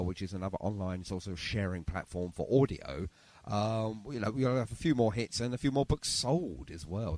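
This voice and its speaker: A man's voice: fairly low pitch, a little mellow, dry recording. A man hosting a casual interview show, chatty and effusive with his guest. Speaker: podcast and radio show host.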